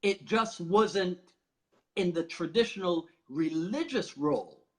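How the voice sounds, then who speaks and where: A man from Barbados hosting a casual conversation, talking in an animated, chatty way and laughing often. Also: high-pitched, slow pace. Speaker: host.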